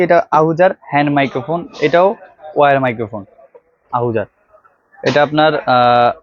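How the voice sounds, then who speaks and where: A man with a Bangladeshi Bengali accent, a shop salesman showing products to viewers in a loose, repetitive sales pitch full of filler sounds, on a clip-on mic. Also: dry recording, dull audio. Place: computer accessories shop. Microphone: clip-on tie microphone.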